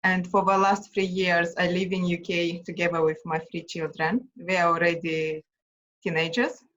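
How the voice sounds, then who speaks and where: A woman in her forties from Moldova, speaking in a casual interview and pausing often with fillers. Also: on the low side, level tone, fairly bright tone. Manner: hesitant, with frequent uh fillers. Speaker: interviewer and online entrepreneur. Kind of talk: casual video chat interview. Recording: dull lo-fi sound.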